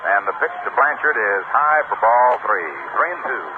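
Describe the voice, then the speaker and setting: An American man calling baseball play-by-play on 1960s radio in short, clipped phrases with pauses between pitches. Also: expressive. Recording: noisy vintage AM radio broadcast, narrow tinny band.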